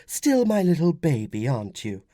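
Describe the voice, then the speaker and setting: A man voicing a young Roman mother in an audiobook, firm, fretful and gently insistent. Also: a little high, huge pitch swings, moderate pace, no room sound, studio quality, pitched above the performer's own voice. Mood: protective. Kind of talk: audiobook character dialogue.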